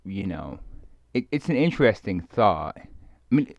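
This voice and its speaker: A man rambling casually in a hesitant, halting, musing way, often trailing off as he thinks aloud. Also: low voice, big pitch swings, a little mellow, no room sound. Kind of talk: rambling casual monologue, ASMR roleplay. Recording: dull lo-fi close dry recording.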